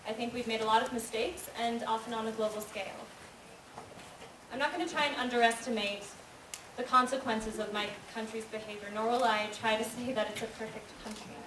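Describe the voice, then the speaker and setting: Young american woman, earnest stage speech, kinda high voice, mic far away in a big echoey room. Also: fairly even intonation. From United States. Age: young adult. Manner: earnest, measured, declaiming. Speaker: student speaker. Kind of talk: spoken-word monologue on stage. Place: school auditorium stage. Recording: echoey hall recorded from far off, noisy.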